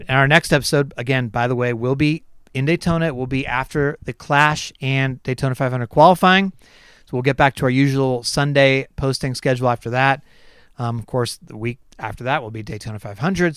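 Man, podcast host, hoarse, rambling, resonant voice, dry close sound. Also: mid-range voice, fairly bright tone, dry recording. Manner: rambling, many fillers and restarts. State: losing his voice, clearing his throat. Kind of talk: casual chat, reading a sponsor spot.

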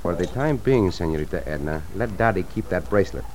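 Lively, gallant Mexican cowboy hero. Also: male voice, low voice, a little fast. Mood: serious, planning. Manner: courteous, formal English sprinkled with Spanish. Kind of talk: old-time radio western acting. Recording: hissy vintage radio recording.

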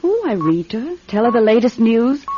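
An actress playing a gentle, devoted younger sister, earnest and hesitant yet stubborn, in a radio drama. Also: female voice, fairly high pitch, very animated, a little fast. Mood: gentle, wistful. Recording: muffled, noisy radio broadcast recording.

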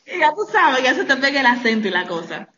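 A woman with a Dominican accent, a guest on a podcast, chatting casually, animated and quick to laugh. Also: high voice, a loud crowd. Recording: dull lo-fi sound with faint noise.

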